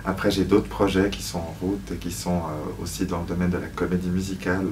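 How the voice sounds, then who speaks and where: A man's voice: low-pitched, level tone, brisk, distant mic, noisy background. A man giving reflective interview answers as a guest, hesitant and searching for words. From Switzerland (Lausanne), born in Paris. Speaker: musical theatre actor, interview guest.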